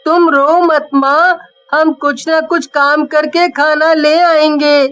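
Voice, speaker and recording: Woman playing a very high-voiced earnest little boy. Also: little pitch movement, takes it slowly, a bit bright, lo-fi sound. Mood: sad, resolute. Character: earnest poor young schoolboy, caring and wistful. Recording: music behind the voice.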